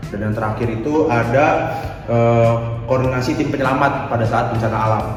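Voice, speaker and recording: A young man presents informally as a student. His voice reaches the mic from a distance, swimming in heavy echo, with music clearly playing behind him. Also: mid-range voice, brisk. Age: young adult.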